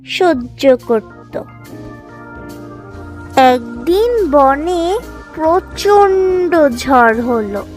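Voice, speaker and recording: Very slow, high-voiced woman storyteller over music. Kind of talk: scripted children's moral story narration.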